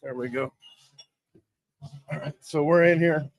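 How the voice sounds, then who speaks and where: A hoarse man hosting a casual sports talk show from a sports bar, rambling and getting worked up over play calls. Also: on the high side, fairly even intonation, measured pace, no echo. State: hoarse from yelling. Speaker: host.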